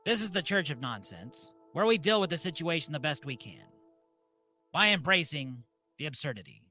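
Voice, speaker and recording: A man hosting a comedy ceremony like a mock-solemn preacher, deadpan, speaking in deliberate short phrases. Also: a little high, soft background music. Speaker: host and mock officiant of a comedy live show.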